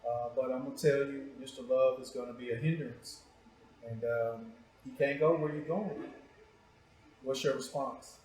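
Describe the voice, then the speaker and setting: A man's voice: medium pitch, steady tone, moderate pace, darkish tone. A man hosting a casual interview show, joking and teasing in informal, slangy talk. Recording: echoey room.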